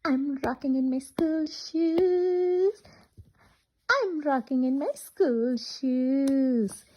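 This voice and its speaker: A woman's voice: high-pitched, low-quality recording. A woman reading a picture book aloud to children in a singsong, interactive way, asking questions as she goes. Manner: animated, singsong, asks questions and pauses for children. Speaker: children's storyteller.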